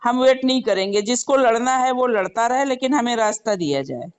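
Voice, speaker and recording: An earnest woman makes her case politely on a call, as a customer speaking up for others. Her voice sits high and she keeps an even, steady manner. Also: a little fast, clean tone. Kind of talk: phone conversation.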